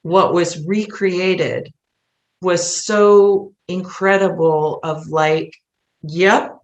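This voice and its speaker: A woman's voice: lowish voice, fairly even intonation, very slow, lo-fi sound. A middle-aged woman talking reflectively and casually as a guest in an interview. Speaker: interview guest, theatre creator. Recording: echoey room.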